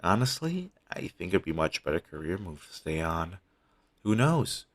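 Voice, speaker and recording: A man playing a devoted, apologetic talent agent in an audio roleplay, earnest and hesitant, with a husky, gravelly voice on a low-quality amateur recording. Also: sing-song, moderate pace. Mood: relieved, reassuring. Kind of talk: scripted audio roleplay, intimate one-sided dialogue.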